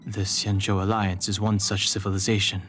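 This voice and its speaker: A man voicing a calm, reserved young guardian in a video game, measured, serious and understated. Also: fairly low pitch, soft background music, close to an everyday voice. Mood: reflective.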